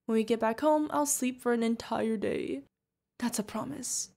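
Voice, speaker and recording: A woman's voice: a little high, normal speed, gravelly, mellow. A woman playing a protective older witch mentor, fond, teasing and stern, in an ASMR fantasy roleplay. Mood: playful, wry. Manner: affectionate teasing, mock-exasperated. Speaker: voice actor.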